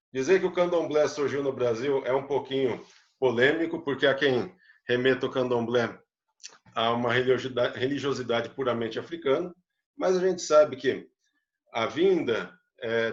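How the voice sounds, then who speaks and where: A man's voice: mid-range voice, echoey room, dull audio. A man, a psychologist, giving an explanatory live talk to an online audience and putting rhetorical questions to them. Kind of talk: lecture-style live talk.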